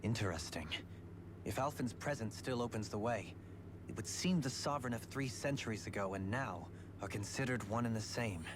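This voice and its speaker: A man's voice: on the low side, moderate pace, background noise, lightly acted. A man playing a learned, analytical character in a video game, speaking formally and articulately. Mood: pondering. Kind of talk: video game cutscene voice acting.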